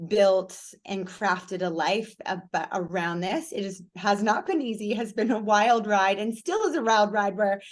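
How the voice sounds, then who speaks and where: A woman with a raspy, hoarse voice, telling her story openly and with energy as a guest in a conversation. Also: medium pitch, measured pace, hardly any pauses. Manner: energetic, candid, lively storytelling. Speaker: podcast guest, speaker and coach. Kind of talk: interview conversation.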